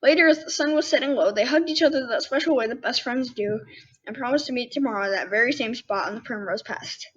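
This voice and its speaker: Little girl around ten reading a kids book, high voice, chatty asides, bit muffled lofi audio. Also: fast, husky. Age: child, about 10. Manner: candid, self-interrupting commentary. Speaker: young host reading children's books. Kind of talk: storybook read-aloud with casual asides. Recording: dull lo-fi sound with faint noise.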